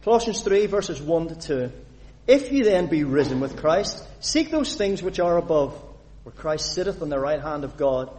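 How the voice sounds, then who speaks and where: A man from Northern Ireland preaches in a fairly high voice, lively and animated as he asks the congregation questions and reads scripture aloud. His voice rings with the long reverb of a church hall. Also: normal speed, few pauses, slight background noise. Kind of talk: sermon.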